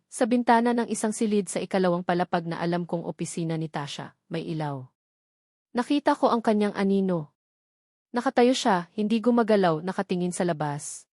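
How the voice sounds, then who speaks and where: A woman narrating a dramatic first-person story in a measured, suspenseful storytelling manner. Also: lowish voice, a little mellow, no echo, studio quality.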